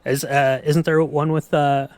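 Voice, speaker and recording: A man's voice: on the high side, level tone, a little slow, darkish tone, no room sound. A man hosting a podcast, asking questions and chatting in a casual interview style. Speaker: podcast host.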